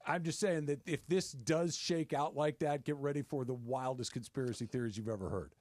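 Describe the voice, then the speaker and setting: A man's voice: mid-range voice, a little fast, darkish tone, no echo. A man hosting sports talk, arguing emphatically with repeated phrases and rhetorical questions. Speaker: sports talk radio host. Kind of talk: opinionated sports debate.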